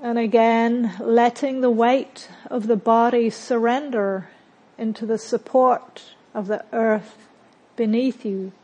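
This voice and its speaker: A woman teaching meditation, guiding a body scan in a calm, gentle way with long pauses between short phrases. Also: a little high, lo-fi sound.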